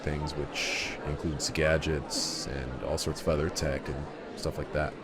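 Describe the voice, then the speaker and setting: A man playing a calm, casual military operative and gadget maker in an amateur audio drama. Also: extremely low pitch, a little slow, music in the background, crowd noise. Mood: matter-of-fact. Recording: clearly noisy home recording.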